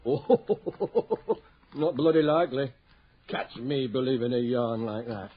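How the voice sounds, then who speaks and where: A man's voice: mid-range voice, slow pace. An actor playing a middle-aged, superstitious working-class father in an old-fashioned radio drama, hesitant and muttering, then exclaiming. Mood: jovial, chatty. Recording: dull lo-fi radio drama recording.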